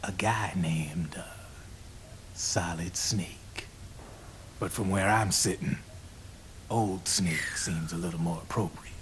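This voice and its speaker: An American actor playing a slick, talkative arms dealer in a video game cutscene, with streetwise, theatrical, drawn-out phrasing. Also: male voice, sits low, a little hiss or hum. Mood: confiding. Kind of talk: video game cutscene acting, explanatory monologue. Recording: loud music over the voice.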